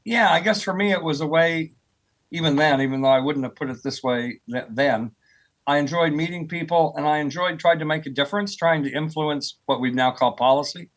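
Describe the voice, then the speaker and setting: A man's voice: a little high, fairly quick, poor audio. An American man in his sixties answering interview questions as an academic guest, explaining things in an informal, conversational way. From United States, rural Oklahoma. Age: 60s. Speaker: guest, earth sciences professor.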